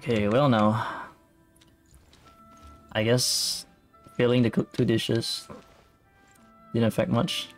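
Male game streamer, very slow, laid-back delivery, casual commentary over faint game music. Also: medium pitch, fairly even intonation.

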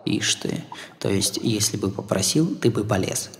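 A man voicing a soft-spoken, eerie young man in a breathy half-whisper, languid and teasing, for an audiobook. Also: fairly low pitch, animated, moderate pace, big-room sound, slight background noise.

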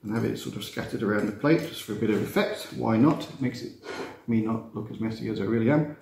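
A man, teaching a relaxed cooking demonstration in a chatty, self-mocking way with playful asides. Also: on the low side, quick pace, vocal fry. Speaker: cooking instructor. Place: glass-walled kitchen room facing a garden. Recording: roomy echo, faint background noise.

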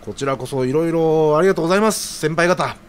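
An actor playing an earnest, flustered young office junior in love in an audio drama, eager and hesitant in casual polite speech. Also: male voice, fairly high pitch, quick pace, natural voice. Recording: audible background noise.

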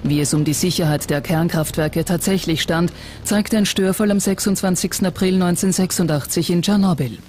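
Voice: mid-range voice, fairly quick, hardly any pauses, darkish tone, a little hiss or hum. A documentary narrator reading a scripted narration. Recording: music behind the voice. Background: background music.

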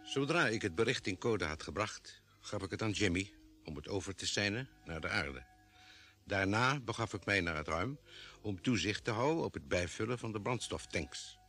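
A man's voice: on the low side, lively intonation, moderate pace, bright, forceful tone, slightly rough, soft background music, exaggerated voice. A man playing a composed, dutiful spaceship doctor in a vintage radio drama. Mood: measured narration. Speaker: radio drama actor and narrator. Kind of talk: vintage Dutch radio drama acting, narration and dialogue.

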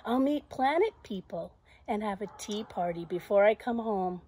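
A woman's voice: mid-range voice, animated, normal speed, mellow, breathy edge. Woman voicing a bold, dreamy little storybook girl. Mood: excited, dreamy. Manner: emphatic, declarative, defiant.

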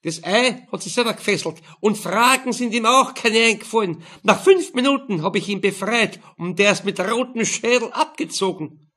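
A man's voice: high voice, animated, normal speed, bright, forceful tone, pitched above the performer's own voice, heavily acted. A man voicing a brash, mocking middle-aged Viennese prostitute in an audiobook, blunt and teasing. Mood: scornful, blunt. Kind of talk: audiobook character voice.

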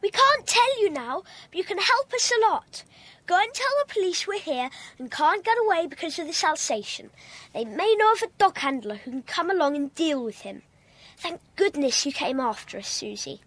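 Young schoolboy, English accent, very high voice, swooping intonation, anxious, excitable, audio play. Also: female voice, moderate pace, no echo. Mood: excited.

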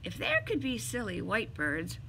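A middle-aged woman doing an expressive, theatrical read-aloud of a picture book for young children. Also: a little high, normal speed, slight background noise, poor audio. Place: small indoor room with bookshelves.

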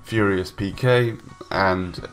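Casual low-voiced young guy, blocked-up nose, lots of ums, soft music underneath. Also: slow. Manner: rambling, hesitant, many ums and restarts. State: stuffy nose from a cold. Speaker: gaming YouTuber. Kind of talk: casual voice-over commentary. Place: home room, recorded separately from gameplay.

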